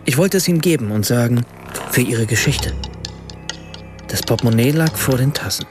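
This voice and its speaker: A man's voice: medium pitch, animated, moderate pace, close to an everyday voice. An actor playing a troubled, hesitant writer who narrates his encounter in a reflective, self-questioning way in a radio play. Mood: uneasy, reflective. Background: music.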